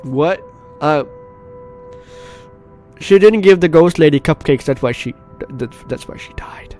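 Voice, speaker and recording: Higher-pitched man reading a game dramatically. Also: measured pace, darkish tone. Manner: dramatic, stumbling read-aloud. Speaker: gaming video host. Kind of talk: gameplay commentary, reading story text aloud. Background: game music.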